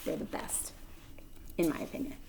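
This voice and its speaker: A woman's voice: normal speed, warm tone, in a whisper, low-quality recording. Woman reading a children's story expressively. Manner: dramatic emphasis, varying volume. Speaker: read-aloud storyteller. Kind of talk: expressive children's book narration.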